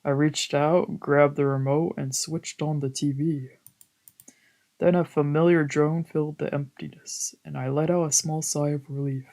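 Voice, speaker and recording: A woman's voice: deep voice, fairly even intonation, a little slow, mellow. A woman VTuber streamer reading visual novel narration aloud in a dramatic storytelling style.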